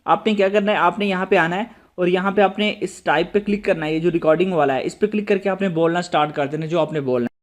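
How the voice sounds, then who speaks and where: A man's voice: sits high, fast talker, hardly any pauses. A man narrating an app tutorial, addressing viewers directly with step-by-step instructions. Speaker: host of a tech tutorial channel.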